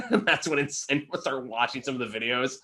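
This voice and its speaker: A man's voice: medium pitch, very animated, moderate pace, sharp tone. A man co-hosting a casual film review, chuckling and swearing as he talks. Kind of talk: casual movie review chat.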